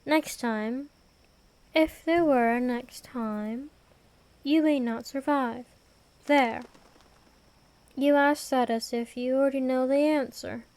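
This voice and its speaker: Ancient vampire queen, played by a woman, ASMR audio drama: high voice, very slow, aloof, darkly protective, regal formality. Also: darkish tone. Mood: reluctantly protective. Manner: measured, formal, imperious. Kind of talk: ASMR roleplay, scripted fantasy monologue.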